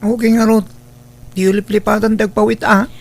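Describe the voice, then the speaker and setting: A woman's voice: mid-range voice, level tone, brisk, gravelly, darkish tone. A woman playing a demanding, petulant schoolboy son in a radio drama, with a Filipino accent. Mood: compliant. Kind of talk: Ilocano radio drama acting.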